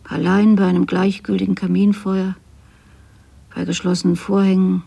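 An actress playing a lonely, yearning older mother in a radio drama, with a husky voice and an intimate, brooding manner. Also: lowish voice, little pitch movement, normal speed, warm tone, exaggerated voice. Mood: desolate, brooding. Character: lonely, yearning middle-aged or older mother of grown children. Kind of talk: literary radio drama monologue.

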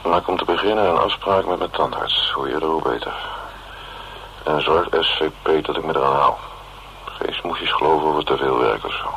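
A gruff investigator with a very deep, gravelly voice, dictating to his secretary. He is wry and grumbling in a colloquial way, on a slightly noisy radio drama recording. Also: normal speed, a bit bright, full character voice. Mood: brisk, wry.

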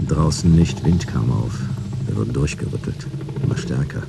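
Very deep, gravelly detective narrator. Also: male voice, normal speed, background noise, exaggerated voice. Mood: dry, sardonic. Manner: laconic, deadpan, clipped sentences. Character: dry, world-weary male detective telling his own case. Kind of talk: hard-boiled radio drama narration. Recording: loud vehicle ambience behind.

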